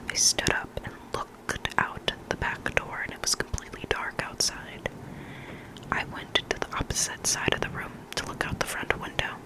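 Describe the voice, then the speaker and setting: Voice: moderate pace, low-quality recording. A person whispering scary stories in an ASMR reading style, close to the microphone, sometimes repeating words. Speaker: ASMR reader of listener stories. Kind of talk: whispered ASMR storytelling, reading creepy anecdotes.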